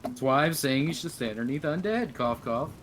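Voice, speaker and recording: A man's voice: medium pitch, animated, brisk. A man talking casually over game voice chat, teasing and swearing at the other players. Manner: profane, teasing. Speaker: online roleplay game player. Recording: clearly noisy game voice chat.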